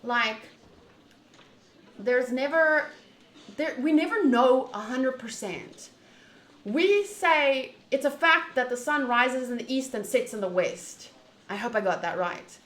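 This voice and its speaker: A woman streamer chatting casually to viewers during a game playthrough, in an animated, opinionated and wandering way, often rephrasing mid-thought. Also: sits high, slow pace. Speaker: streamer playing a visual novel. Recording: echoey room, faint background noise.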